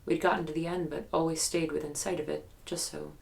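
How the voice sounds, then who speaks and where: Casting: guarded young woman in investigative audio drama, very low register, fry-heavy, hesitant naturalistic storyteller carrying a haunted memory. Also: level tone, a little mellow. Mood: reflective. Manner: hesitant, reflective storytelling with pauses. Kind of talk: naturalistic documentary-style audio drama interview.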